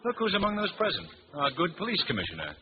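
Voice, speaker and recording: An actor playing an urbane, genial gentleman about town, suave and polite, in a noisy old-time radio drama. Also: sits high, huge pitch swings, fast talker, dull audio. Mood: jovial.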